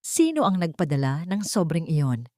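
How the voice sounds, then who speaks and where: A woman's voice: sits low, very animated, normal speed, mellow, dry recording, studio quality. A woman with a Filipino accent reading a dramatic scripted story as narrator.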